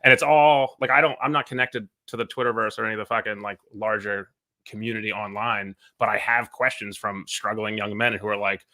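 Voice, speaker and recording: A man's voice: lowish voice, fairly even intonation, pushed and bright. A man in his thirties talking earnestly on a podcast, swearing and filling with "like". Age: 30s. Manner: fast, earnest and profane, with many fillers. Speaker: podcast co-host. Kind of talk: casual podcast chat.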